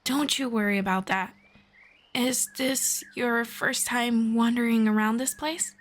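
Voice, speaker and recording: A woman playing a friendly, calm forest elf in an ASMR fantasy roleplay, speaking in a measured, playful, gently sarcastic way. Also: fairly high pitch, close to an everyday voice. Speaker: roleplay voice performer.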